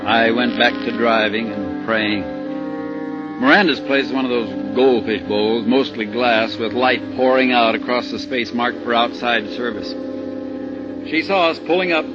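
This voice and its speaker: An anxious American husband and father acted by a man in a 1950s radio thriller. He speaks plainly, with tension under the words. The recording is muffled, with clear music behind. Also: mid-range voice, measured pace, lightly acted. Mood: grim, tense narrating. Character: anxious married father held hostage, narrating his ordeal. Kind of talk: 1950s radio drama acting with first-person narration.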